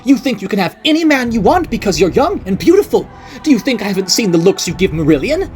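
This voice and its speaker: A woman voicing an unhinged, jealous middle-aged noblewoman who rants and accuses in a shrill voice. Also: big pitch swings, fast, a little mellow, soft background music, lightly acted. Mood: ranting. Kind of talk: audiobook character voice.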